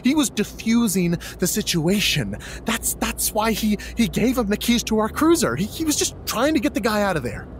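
A devoted, excitable cop buddy in a naturalistic comic audio drama. His voice sits a little high and swings widely in pitch as he rambles earnestly in slang. Clear vehicle noise runs behind him. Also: moderate pace, hardly any pauses. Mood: earnestly defensive. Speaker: actor in a scripted audio drama.

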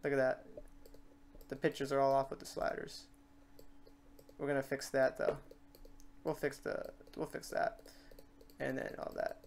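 A man hosting a casual software tutorial, informal and rambling, thinking aloud as he works. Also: medium pitch, completely flat delivery, unhurried, vocal fry, pauses often, slight background noise. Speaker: tutorial host. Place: indoors at a computer.

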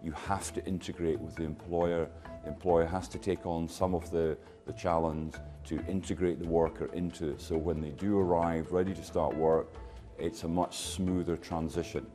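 A man's voice: low voice, steady tone, normal speed, few pauses, music in the background. A man speaking as an education and training professional, giving interview answers for a promotional film.

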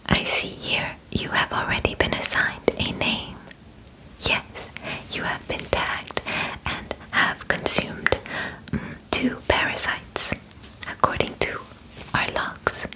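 A woman in her twenties whispering softly and breathily in an ASMR roleplay as a polite, formal alien examiner, with gentle, halting phrasing. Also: rough recording. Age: 20s. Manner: gentle, halting, measured, formal wording with short pauses. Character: polite, matter-of-fact female alien medical examiner. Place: small bedroom, indoors.

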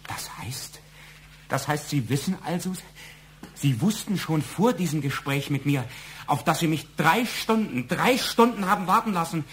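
A man acting in a German audio drama as a fearful, indignant family man under suspicion, halting and repeating his words. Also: a little high, expressive, a bit bright. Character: flustered, fearful, indignant male murder suspect and family man.

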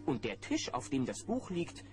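A narrator telling a children's audio play in an expressive storytelling style. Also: mid-range voice, moderate pace, soft background music.

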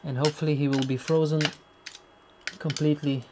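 A Flemish-accented man in his thirties from Belgium, speaking English haltingly while cleaning a shredder in a workshop. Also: on the high side, little pitch movement. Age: 30s. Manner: halting, restarts phrases, searches for English words. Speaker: channel host. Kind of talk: unscripted workshop talk while working. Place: workshop by a running industrial shredder. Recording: machine noise and clatter behind.

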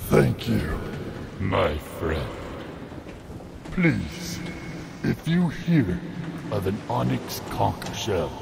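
A man voicing a huge wounded sea dragon turtle in a radio play, deep and gravelly, speaking in solemn, pleading, halting phrases. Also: big pitch swings, background noise, heavily acted.

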